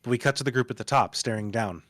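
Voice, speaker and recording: A man's voice: mid-range voice, quick pace, fairly bright tone, no room sound, studio quality. A man reading stage directions as dramatic scripted narration at a live table read.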